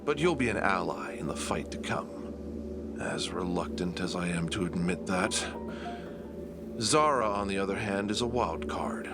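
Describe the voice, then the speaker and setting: A man acting in an audio drama as a cunning, proud villain who talks formally and condescendingly, in a measured, menacing way. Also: low voice, expressive, over loud music. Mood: cold, scheming. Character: cunning, proud villain, reluctant ally to his nemesis.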